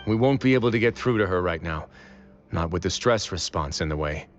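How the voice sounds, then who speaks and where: Self-assured commanding fighter, lowish pitch, measured, composed, video game dialogue. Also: male voice, dull audio, soft background music. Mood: calm, focused. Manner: calm, measured, matter-of-fact. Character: composed, self-assured man in authority, cool-headed fighter.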